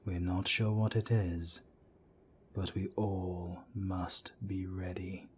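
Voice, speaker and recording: A man voicing a grave male werewolf council elder who speaks in a solemn, measured way. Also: low-pitched, level tone, background noise. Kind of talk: amateur audio drama acting.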